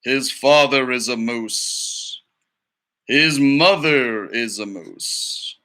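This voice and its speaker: A man's voice: mid-range voice, bright, forceful tone, pitched below the performer's own voice. A man voicing a grand nature documentary narrator in a storybook, solemn and portentous in delivery. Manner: slow, portentous.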